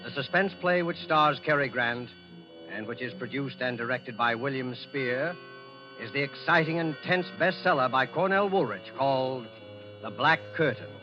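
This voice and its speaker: A man hosting a mystery series as a 1940s radio announcer, reading a script in a formal, ominous, measured manner. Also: medium pitch. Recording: muffled old radio, music behind.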